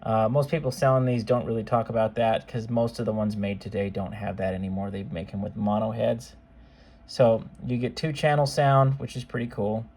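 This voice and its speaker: A man's voice: on the low side, fairly even intonation, moderate pace, a little mellow. A man giving a casual product demonstration as a seller, talking in an informal, offhand, conversational way. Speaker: seller demonstrating modified cassette players.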